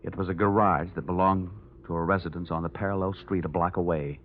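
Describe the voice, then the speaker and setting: A man's voice: deep voice, fairly even intonation, fast talker, a little hiss or hum, close to an everyday voice. A man playing a tough, wisecracking insurance investigator, narrating and talking in a punchy, sardonic old-time radio detective style. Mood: wry narration. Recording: dull old radio recording, loud music.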